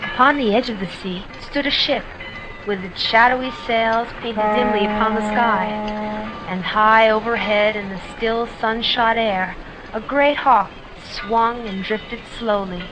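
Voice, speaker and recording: A woman's voice: fairly high pitch, measured pace. Woman narrating, with background hiss. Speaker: audiobook narrator. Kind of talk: scripted narration.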